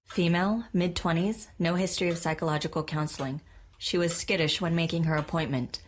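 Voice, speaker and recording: Actress as clinical female therapist, lowish voice, clipped and detached, audio drama, faint noise. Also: fairly even intonation, a little fast, lightly acted. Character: clinical, composed female therapist dictating session notes. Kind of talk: dictated case notes in audio drama.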